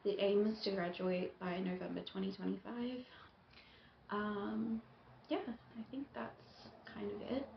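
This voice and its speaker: Chatty young woman, slightly gravelly. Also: medium pitch, flat delivery, a little slow. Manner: chatty, with frequent ums and soft laughs. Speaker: book YouTuber. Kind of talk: casual vlog chat. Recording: echoey room, dull lo-fi sound, faint hiss.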